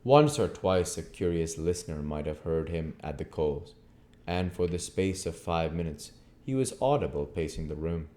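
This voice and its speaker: A man with a low-pitched voice reads a story aloud. He narrates in an audiobook style, working through literary prose. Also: animated, normal speed, mellow. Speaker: audiobook reader.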